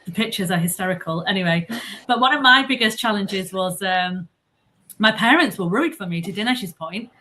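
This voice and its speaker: A woman's voice: medium pitch, moderate pace, bright, forceful tone, a little hiss or hum. A woman moderating a panel discussion. She speaks in an earnest, encouraging way, with a mid-range voice.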